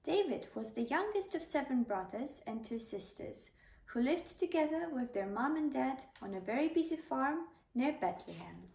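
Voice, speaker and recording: Woman reading a kids bedtime story, soft mellow tone, echoey room. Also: sits high, lively intonation, moderate pace, vocal fry. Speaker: storybook narrator. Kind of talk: read-aloud children's bedtime story. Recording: roomy, slightly distant microphone.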